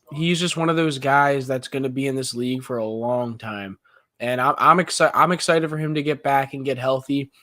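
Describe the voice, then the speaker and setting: A young man co-hosting a live sports podcast, chatting excitedly and rambling through his reactions to the game. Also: medium pitch, no room sound, clean studio sound. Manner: excitable, rambling, quick asides. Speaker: podcast co-host.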